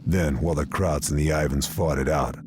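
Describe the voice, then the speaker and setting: An actor playing a grim American wartime sniper, narrating his mission in terse, deadpan game voice-over. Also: very deep voice, a little fast, noisy background. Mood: grim resolve. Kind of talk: scripted noir-style voice-over narration.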